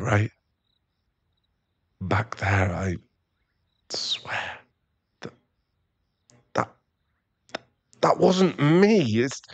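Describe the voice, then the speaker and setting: A man playing a remorseful, tender boyfriend and expectant father in an intimate ASMR roleplay, speaking softly in halting, stammered phrases. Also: mid-range voice, no echo. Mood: guilty, pleading. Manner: halting, stammering, soft-spoken apology.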